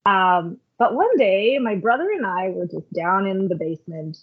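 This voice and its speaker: A woman's voice: medium pitch, expressive, normal speed. A woman, a podcast guest, chatting in a reflective way and telling stories with plenty of "like" and "um". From Canada. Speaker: podcast guest, worship leader. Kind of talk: casual conversational storytelling. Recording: phone-like call line.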